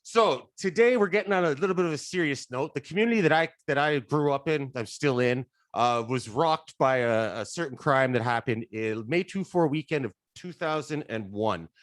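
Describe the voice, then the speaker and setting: A man's voice: on the high side, expressive, normal speed, hardly any pauses, no room sound. A Canadian man hosting a podcast, introducing the show and asking questions in a casual, friendly way. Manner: casual, friendly, loose with fillers. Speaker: podcast host.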